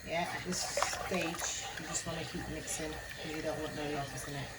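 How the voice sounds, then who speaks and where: Woman, Jamaican accent, lowish voice, chatty home-cooking tutorial, kitchen background noise. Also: steady tone, moderate pace. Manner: chatty, adds "yeah?" after most phrases. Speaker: home cook.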